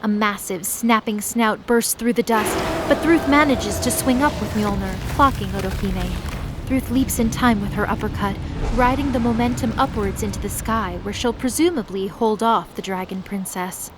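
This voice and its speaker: A woman's voice: mid-range voice, moderate pace, noisy background, close to an everyday voice. A woman delivering dramatic scripted narration as the narrator of an audio drama. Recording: loud dramatic music behind the voice.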